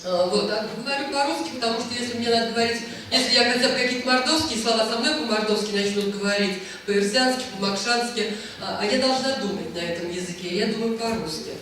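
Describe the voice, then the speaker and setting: A woman's voice: fairly high pitch, fast, hardly any pauses, fairly bright tone. A woman telling a rambling, anecdotal story at a book presentation, hesitating often as she talks. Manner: chatty, rambling, frequent hesitations. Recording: echoey hall, voice from far off, faint noise.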